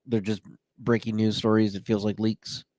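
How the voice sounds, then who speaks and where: A man's voice: fairly low pitch, flat delivery, normal speed, darkish tone, no room sound. A man hosting a podcast, chatting casually and joking, with frequent fillers. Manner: playful, jokey, many fillers. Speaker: podcast host. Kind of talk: casual chat.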